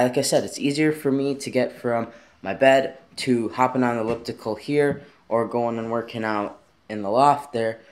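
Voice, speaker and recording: A young man talks casually into a camera held at arm's length in his bedroom, his voice sitting at an ordinary middle pitch. He rambles in an easygoing way, padding his sentences with fillers as he goes. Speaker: vlogger. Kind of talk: casual vlog talk to camera. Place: bedroom at home, indoors. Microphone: handheld camera at arm's length.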